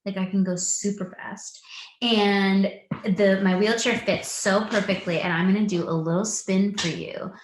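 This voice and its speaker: Unhurried woman in a roomy space. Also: mid-range voice, steady tone. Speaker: guest answering children's questions. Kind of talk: simple explanation for young children. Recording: roomy echo with faint noise.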